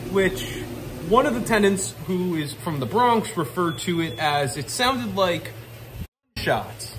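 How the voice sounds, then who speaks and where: Guy in his 30s, kinda high voice that jumps around, chatting to his phone on a repair job. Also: background noise. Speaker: HVAC technician filming his workday. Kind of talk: casual off-the-cuff vlog talk. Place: on the job, often in cramped boiler basements. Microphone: handheld phone at arm's length.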